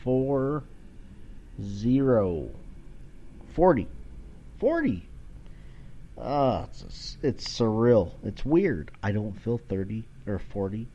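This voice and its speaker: Slow-talking, irreverent man, muffled podcast sound. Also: fairly low pitch, big pitch swings, mellow. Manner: irreverent, sweary, self-deprecating. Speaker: podcast host. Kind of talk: rambling casual solo podcast monologue. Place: kitchen at home. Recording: dull lo-fi home recording.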